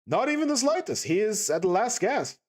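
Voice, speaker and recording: A man reading aloud plays a precise little old doctor, blunt and unbothered as he gives grim news. His voice sits a touch high and stays level, with no show. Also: big pitch swings, a little fast. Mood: matter-of-fact. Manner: blunt, matter-of-fact.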